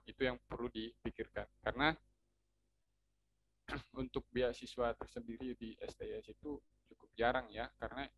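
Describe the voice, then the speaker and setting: A man's voice: mid-range voice, lo-fi sound, slight background noise. A young man from North Sumatra with a Medan-style Indonesian accent, chatting casually as an alumni speaker and explaining things with lots of fillers and restarts. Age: young adult. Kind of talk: casual informational talk.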